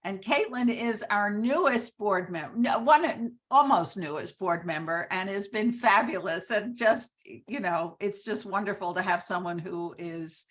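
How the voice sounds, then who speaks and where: An older woman acts as host of an informal discussion. Her voice sits at a medium pitch as she makes introductions in conversational style. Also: measured pace, few pauses, a bit bright. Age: 60s or older. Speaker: organization president hosting the conversation.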